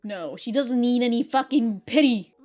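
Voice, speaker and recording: A woman giving casual, excitable reaction commentary as host, swearing freely and often breaking into laughter. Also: on the high side, moderate pace, soft background music.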